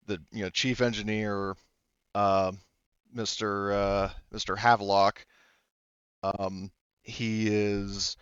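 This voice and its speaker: A man improvising roleplay dialogue in a halting, rambling, explanatory way with frequent self-corrections. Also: on the low side, fairly bright tone, clean tone, no echo, muffled recording. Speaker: tabletop roleplayer.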